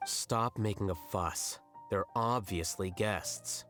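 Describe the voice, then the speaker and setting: An actor playing an aloof, unbothered young scholar in a video game, curt and deadpan. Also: male voice, fairly low pitch, slow pace, music in the background. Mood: dry, unimpressed. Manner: deadpan, matter-of-fact.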